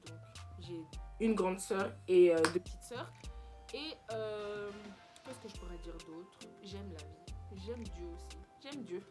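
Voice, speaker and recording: A seventeen-year-old woman from Belgium, chatting casually as a vlogger and mixing in English phrases. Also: medium pitch. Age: teens. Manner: chatty, mixes in English phrases, many fillers. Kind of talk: casual chat.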